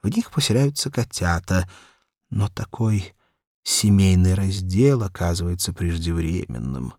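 A man reads a children's literary audiobook with theatrical, measured delivery. His voice is low and husky, with lively swings of pitch, recorded very dry. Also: dry recording. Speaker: narrator.

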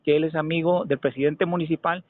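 A Mexican man making a call to argue a point, speaking insistently and haltingly, often repeating phrases for emphasis. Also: on the high side, steady tone. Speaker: caller. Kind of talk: phone complaint, argumentative questioning. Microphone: telephone. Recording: narrow, tinny telephone call recording.

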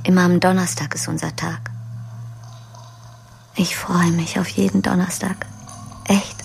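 A woman's voice: fairly low pitch, little pitch movement, unhurried, creaky, a little mellow. An actress playing a lonely woman who longs for her weekly lover, in an intimate, whispered monologue for a radio drama. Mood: longing. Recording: radio play with music.

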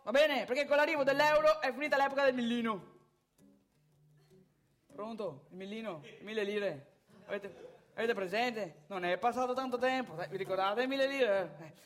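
A woman's voice: high voice, a bit bright. An actress playing a cocky, crude young man with a northeastern Italian accent in a comic stage piece. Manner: blunt, slangy, addressing the audience. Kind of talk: comic theatrical monologue and dialogue.

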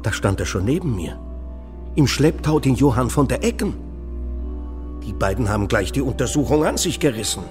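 An actor playing an earnest, anxious master printer in a historical German radio play, hesitant yet insistent. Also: male voice, mid-range voice, very animated, moderate pace, long pauses, a little mellow, soft background music, close to an everyday voice. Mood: uneasy.

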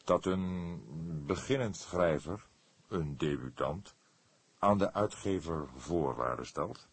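Man acting an older male detective, low and slow, calm, probing, quietly sceptical, husky, audiobook character. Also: exaggerated voice. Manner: hesitant, deliberate, quietly sceptical.

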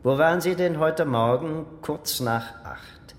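A man acting a dry, probing police investigator in a radio crime drama, putting pointed questions. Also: takes it slowly. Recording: echoey hall, faint rumbling noise.

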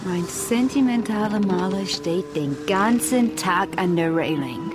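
A woman's voice: medium pitch, lively intonation, a little slow, close to an everyday voice. An actress playing a flirtatious, pampered lady passenger in a radio drama, with an affected, coquettish delivery over music. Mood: alarmed. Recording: music behind the voice.

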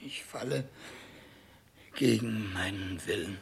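A man's voice: on the low side, takes it slowly, vocal fry, husky, full character voice. An actor playing a dying old king in a 1960s radio play, terrified, self-pitying and childishly stubborn, wailing and pleading theatrically. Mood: weakened.

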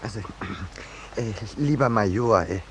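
An actor playing a mild, peace-loving painter in a 1950s radio play, polite, hesitant and dryly ironic. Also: male voice, lowish voice, expressive, slow pace, a bit bright. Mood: patient, flustered. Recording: noisy old 1950s radio recording.

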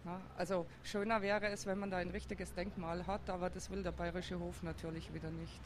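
A woman's voice: fairly low pitch, flat delivery, fairly quick. A woman speaks outdoors on a busy city square with a faint hum of traffic behind her. She answers questions in a thoughtful, hesitant way. She often stops to search for words. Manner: thoughtful, hesitant with many fillers. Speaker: interviewee, district councillor. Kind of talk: street interview.

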